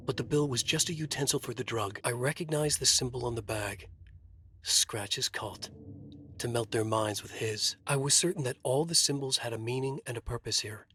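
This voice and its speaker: An actor playing a haunted, trapped writer, narrating his nightmare in a moody, deliberate noir style for a video game. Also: mid-range voice, bright, forceful tone, slight background noise, close to an everyday voice. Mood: brooding, uneasy.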